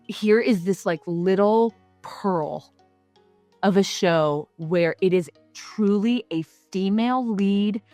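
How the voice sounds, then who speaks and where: A young woman talks eagerly in an interview, her words tumbling out with fillers and quick asides. Her pitch sits in the middle range. The sound is close and dry, with faint music underneath. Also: no echo. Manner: animated, gushing, many fillers and quick asides. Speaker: voice actor guest. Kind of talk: casual interview chat.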